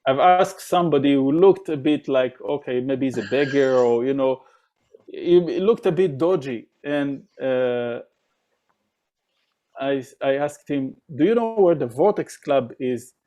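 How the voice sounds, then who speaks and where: A man's voice: medium pitch, lively intonation, measured pace, dark tone. A man from Israel, a drummer, telling stories in a casual interview, with many fillers and restarts. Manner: rambling, many fillers and restarts, acts out quoted voices.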